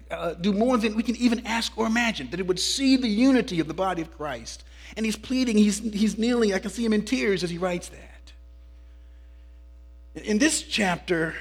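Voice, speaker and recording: A man preaching a Sunday sermon as pastor, often clearing his throat and restarting his phrases. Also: a little high, a bit bright. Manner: halting, repeats words as he starts phrases.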